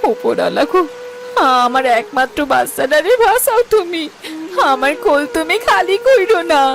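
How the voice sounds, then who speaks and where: A woman's voice: high-pitched, very animated, normal speed, gravelly, exaggerated voice. A woman playing a devout, caring village mother with a rural Bangladeshi accent in a moral story. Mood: desperate, praying.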